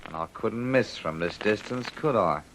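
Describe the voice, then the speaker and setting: Nasty crook in an old radio crime play, menacing, swoops up and down, creaky, crackly tape. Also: male voice, on the low side, expressive, normal speed, a bit bright. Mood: menacing, defiant. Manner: menacing, slangy, snarling. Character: vicious, taunting male gunman, small-time criminal. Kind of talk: radio drama acting.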